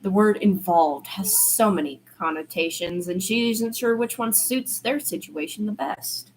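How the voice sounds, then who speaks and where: A woman's voice: medium pitch, a little slow, a little hiss or hum, rough recording. A woman reading fiction aloud as an amateur narrator, sometimes stumbling and rereading phrases.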